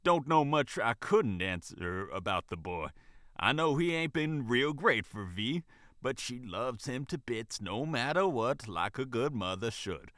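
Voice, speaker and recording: A man's voice: mid-range voice, very animated, a little slow, pushed and bright, no echo, studio quality. A man voicing a bold, kindly, nosy female fox who manages a diner, in a folksy, casual storybook voice. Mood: casual, gossipy. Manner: folksy, casual, sassy, says ain't and sugar.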